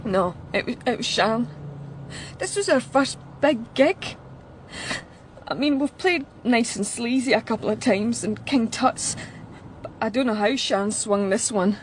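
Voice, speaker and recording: Young glasgow woman, grunge band type, highish voice, chatty and blunt but shaken, bit of background noise. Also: animated. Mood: nervous, chatty. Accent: Glasgow Scottish. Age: young adult. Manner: colloquial, halting, rambling. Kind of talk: naturalistic radio drama acting.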